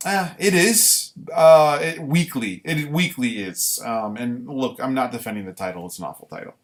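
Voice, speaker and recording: A man reading his own writing live and commenting on it casually, in deliberate, halting short phrases. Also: mid-range voice. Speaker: writer reading own work; former military recruit.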